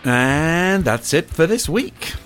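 A man hosting a podcast in a conversational, earnest and reflective way, with measured phrasing. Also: fairly high pitch, big pitch swings, music in the background. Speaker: podcast host. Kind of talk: conversational podcast talk on tabletop roleplaying.

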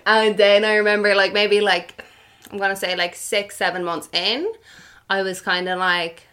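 Young Irish woman, podcast guest, mid-pitched, chatty and quick to laugh at herself. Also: flat delivery, a bit bright, clear, resonant tone. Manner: chatty, laughs often, self-mocking. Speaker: anonymous guest. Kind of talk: casual podcast chat.

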